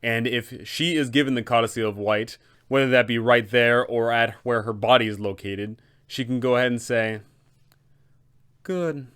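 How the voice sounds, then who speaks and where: A man's voice: mid-range voice, steady tone, moderate pace, few pauses, fairly bright tone, clean tone. A man narrating tabletop roleplaying advice in an explanatory style, as a game master.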